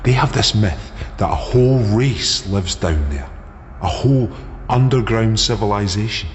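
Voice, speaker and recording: Radio drama: paranoid Scottish veteran, deep, unsettlingly matey, cavernous reverb over audible background noise. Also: male voice, lively intonation, a little slow. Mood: haunted, chatty. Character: bitter disfigured ex-soldier, paranoid, chummy yet unsettling. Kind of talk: naturalistic radio drama acting. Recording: dull sound, long reverb, noisy background.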